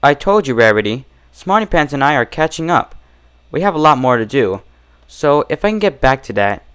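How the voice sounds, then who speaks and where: A man's voice: medium pitch, very animated, moderate pace, fairly bright tone, clean tone. A man voicing an unhinged young female pony in a storybook reading, with an emphatic, girlish delivery. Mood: insistent cheer. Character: young female pony, unhinged, chirpy, desperately cheerful or frantic.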